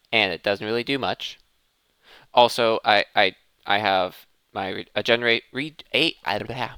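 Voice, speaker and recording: A man's voice: fairly low pitch, pushed and bright, clear, resonant tone, no echo. A man giving casual gameplay commentary, thinking aloud and often interrupting himself mid-thought. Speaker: gaming video host.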